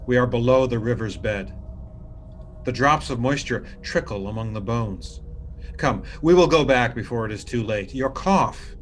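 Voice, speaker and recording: A man's voice: mid-range voice, moderate pace, slight background noise, close to an everyday voice. A man acting a formal, scheming gothic narrator. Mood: feigned concern. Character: scheming male avenger narrating his deed, falsely solicitous. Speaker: actor in a dramatic reading. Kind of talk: theatrical dramatic reading of gothic fiction.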